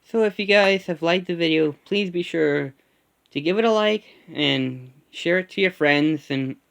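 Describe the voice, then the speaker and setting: A young man with a slight lisp from a gap in his front teeth, talking casually and a little haltingly in a couple's vlog. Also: fairly high pitch, creaky. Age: young adult. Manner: halting, stammers at times. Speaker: vlogger. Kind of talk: casual chat.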